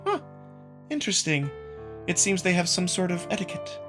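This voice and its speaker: A man's voice: high voice, big pitch swings, moderate pace, over music, pitched above the performer's own voice. A man voicing a haughty, playful young female wolf noble in a theatrical, sing-song visual novel reading. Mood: intrigued.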